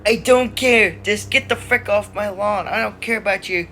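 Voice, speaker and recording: A man's voice: high-pitched, normal speed, pushed and bright, faint music behind. A male voice playing a furious, indignant boss in a scripted parody, barking orders.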